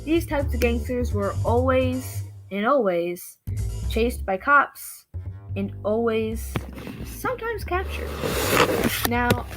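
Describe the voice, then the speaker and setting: A woman giving an informal introduction as a presenter, halting as she searches for words. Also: a little high, rough recording. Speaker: student presenter introducing a play.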